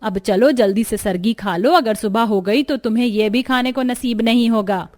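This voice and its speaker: A woman's voice: a little high, moderate pace, darkish tone, close to an everyday voice. A woman voicing a strict but caring traditional mother-in-law who gives firm instructions in a cartoon story. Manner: instructing, firm, addresses her daughters-in-law.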